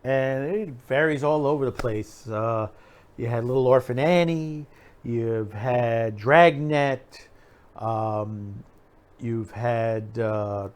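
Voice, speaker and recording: A man with a mid-pitched voice hosts his own online show. He talks casually to his viewers, offering opinions in a halting way and circling back to repeat phrases as he finds his wording. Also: a little mellow. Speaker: YouTube show host.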